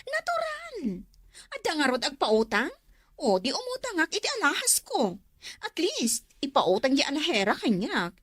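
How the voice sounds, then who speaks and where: A woman's voice: high voice, huge pitch swings, normal speed, fairly bright tone, no echo, studio quality, exaggerated voice. A woman playing a discontented, defiant wife in an Ilocano radio drama, arguing dramatically. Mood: angry, defiant. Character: discontented, sharp-tongued young wife who craves comfort.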